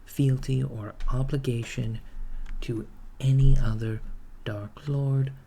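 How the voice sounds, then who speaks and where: Henchman recruiter played by a man: soft near-whisper, unhurried, hesitant and matter-of-fact office manner, ASMR roleplay, noticeable background noise. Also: mid-range voice, vocal fry. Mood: polite, businesslike. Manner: hesitant, deadpan, reassuring office politeness. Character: calm, matter-of-fact villain's henchman recruiter, male, mildly awkward. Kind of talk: ASMR roleplay, soft-spoken job interview. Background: computer keyboard typing.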